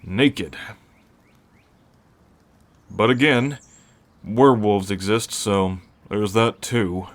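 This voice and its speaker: Man acting a slow, low, brooding new werewolf. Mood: wry. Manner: halting, sighing, sardonic. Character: gruff, brooding chemistry teacher turning werewolf, tenderly protective. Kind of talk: ASMR roleplay acting.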